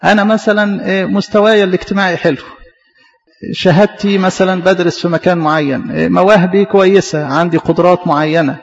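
A man's voice: high voice, long reverb tail, muffled recording. A man preaching a sermon in an Egyptian Arabic accent, often asking questions and answering them himself. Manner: questioning, repetitive.

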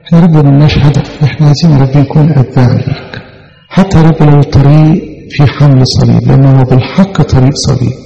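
A man leads an earnest, pleading prayer in an Egyptian accent, his voice dark and gravelly. He speaks quickly and keeps returning to his appeals to God. The sound is dull and lo-fi, with a long reverb from the hall. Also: mid-range voice, fairly even intonation. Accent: Egyptian Arabic. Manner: earnest pleading, repeating "O Lord" often. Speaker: prayer leader. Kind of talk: fervent extemporaneous prayer.

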